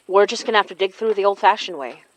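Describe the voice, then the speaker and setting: A woman's voice: mid-range voice, animated, quick pace, lo-fi sound, close to an everyday voice. A woman acting in an audio horror drama as an earnest, knowing spirit medium who blames herself. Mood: explaining, serious. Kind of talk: audio fiction podcast acting.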